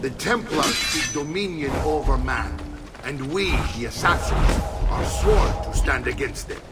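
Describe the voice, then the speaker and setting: An actor playing a commanding middle-aged Italian uncle and sword mentor in a video game, with an Italian accent and a theatrical delivery. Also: male voice, mid-range voice, animated, measured pace, recorded from a distance, loud music behind the voice, lightly acted. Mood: grave, instructive. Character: gruff hearty older Italian uncle, mercenary swordsman and mentor. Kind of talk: video game cutscene acting.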